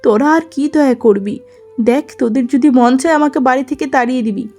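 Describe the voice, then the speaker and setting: A woman voicing a long-suffering, gentle elder wife in a melodramatic cartoon, plaintive and patient. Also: high voice, fast talker, faint music behind. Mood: hurt, bitter. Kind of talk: melodramatic cartoon story acting.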